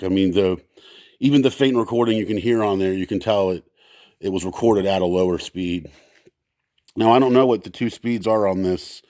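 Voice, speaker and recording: A man with a fairly low voice talks casually through a hands-on gear test. The sound is dry and close, with little room around it. He explains in a halting, unscripted way, circling back to correct himself. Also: darkish tone, dry recording. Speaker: presenter testing vintage audio gear. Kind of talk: casual, unscripted demonstration talk.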